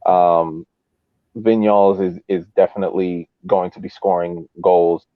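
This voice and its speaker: A man giving casual sports commentary on a podcast, haltingly thinking aloud over thin call audio. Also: sits low, fairly even intonation, dry recording, narrow, tinny audio. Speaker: podcast co-host.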